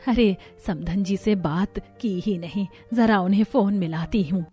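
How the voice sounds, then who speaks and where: A woman's voice: medium pitch, a little slow, mellow, soft background music, close to an everyday voice. A woman voicing a poor, doting middle-aged mother in a cartoon, tender and fussing. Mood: fond.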